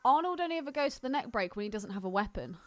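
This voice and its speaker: A woman's voice: fairly high pitch, animated, rapid-fire, fairly bright tone. A woman co-hosting a casual movie podcast, chatty and ready to argue her point. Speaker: podcast co-host.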